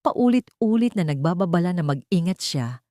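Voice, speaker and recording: Lowish-voiced woman narrating a dramatic story. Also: animated, moderate pace, dark tone, no echo, clean studio sound.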